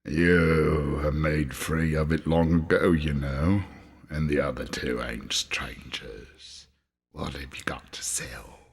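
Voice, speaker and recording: A man's voice: very deep voice, fairly even intonation, slow pace, vocal fry, slightly rough, heavily acted. A man voicing a sly, grey-haired old junk dealer in a storybook reading, with long reverb. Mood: sly, probing.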